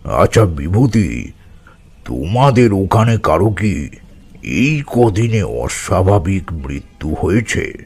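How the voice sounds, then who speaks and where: A man playing a grave older tantric in a horror audio drama, recounting occult tales with deliberate, dramatic weight and a gravelly voice. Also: low voice, big pitch swings, a little mellow, exaggerated voice. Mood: probing. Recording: music under the voice.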